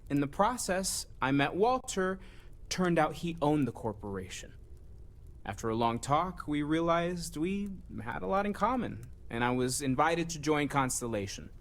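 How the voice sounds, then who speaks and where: An actor playing a kind, earnest scholar turned space explorer in a video game, speaking in a gentle, conversational way. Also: male voice, on the high side, very animated, moderate pace. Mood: reflective. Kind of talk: video game character dialogue.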